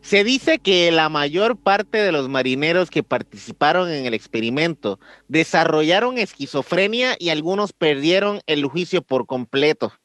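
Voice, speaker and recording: Laughing Mexican podcast co-host. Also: male voice, a little high, lively intonation, moderate pace, few pauses, a bit bright, no room sound. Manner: laughs easily, reacts with "qué horror". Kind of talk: casual chat, partly reading the story.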